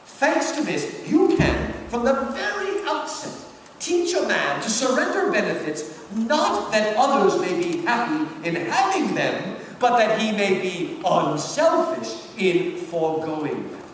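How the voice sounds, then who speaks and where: Man acting sly senior devil, pompous, theatrical, deliberate, high voice, dramatic reading, distant hall recording. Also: sing-song. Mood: pompous, instructive. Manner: theatrical, deliberate, drawn-out delivery. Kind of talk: dramatic reading of a satirical book. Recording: echoey hall from far off, noisy.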